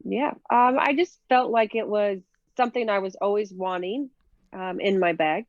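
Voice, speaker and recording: A woman's voice: medium pitch, moderate pace, no echo, dull audio. A woman from Minnesota with a Minnesota accent, chatting casually in an interview with many fillers and easy laughs. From Minnesota, USA. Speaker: professional disc golfer, guest.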